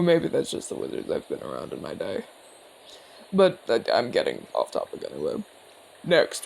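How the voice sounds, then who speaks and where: A man's voice: fairly high pitch, vocal fry, a little mellow, slight background noise, heavily acted, poor audio. A man playing a seasoned, cocky but easygoing orc commander in an audio roleplay, talking in a casual, teasing, explanatory way. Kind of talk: ASMR audio roleplay acting.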